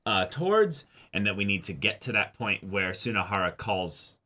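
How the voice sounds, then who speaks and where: A man's voice: fairly low pitch, sing-song, normal speed, sharp tone. A man co-hosting a discussion show, talking casually and expressively in slangy language. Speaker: co-host of an anime reaction and discussion show.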